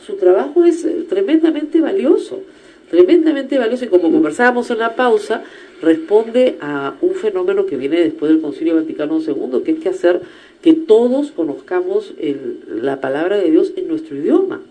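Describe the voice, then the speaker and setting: A woman with a Peruvian accent hosting a radio interview, talking in an animated, emphatic and teasing way. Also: medium pitch, moderate pace. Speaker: radio show host.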